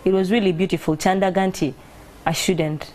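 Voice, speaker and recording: A woman singer with a deep voice and a Ugandan accent, telling stories in a relaxed interview and mixing Luganda with English. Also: fairly quick. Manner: mixes Luganda and English, reflective storytelling. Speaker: singer and guest. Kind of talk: casual interview talk.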